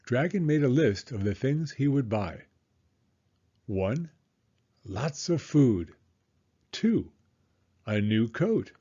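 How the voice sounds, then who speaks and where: Slow, animated man reading a children's story. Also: mid-range voice, husky, dull audio. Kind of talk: expressive read-aloud story narration for young children.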